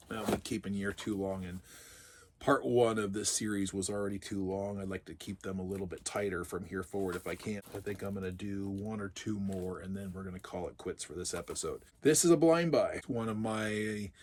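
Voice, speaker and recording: A middle-aged man with a sick, raspy voice, chatting casually and musing aloud as he unboxes his purchases. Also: on the low side, darkish tone. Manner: chatty, musing, laughs often. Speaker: collector vlogger.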